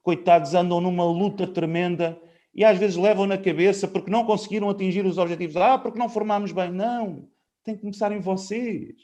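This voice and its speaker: A man's voice: high-pitched, steady tone, brisk, warm tone. A man in his fifties hosting a casual conversation from home, explaining his views at length with many fillers. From Portugal. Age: 50s. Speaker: host.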